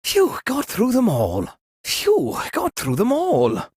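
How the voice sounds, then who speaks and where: Fretful posh party host, hugely animated pitch, husky, dry close sound, theatrical, exasperated, comedic video game character. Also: slow pace, full character voice. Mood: relieved, brisk.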